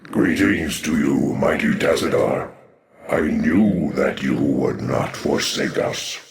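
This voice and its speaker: Ancient dark alien warrior, bottomless voice, slow portentous pacing, proud and brooding game role. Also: male voice, a bass voice, exaggerated voice. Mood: solemn welcome. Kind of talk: dramatic video game voice acting. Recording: echoing, reverberant game voice processing.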